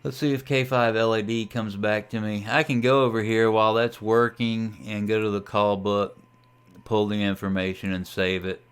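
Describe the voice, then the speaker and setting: A man with a fairly low, smooth and clear voice narrates a casual software demonstration. He talks unscripted, thinking aloud as he works through each step like a hobbyist showing his setup. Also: level tone, moderate pace. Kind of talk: casual screen-share tutorial narration.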